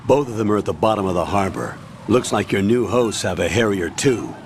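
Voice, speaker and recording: An actor playing a stern, authoritative older military commander in a video game, giving a measured, commanding briefing. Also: male voice, lowish voice, animated, slight background noise. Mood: composed.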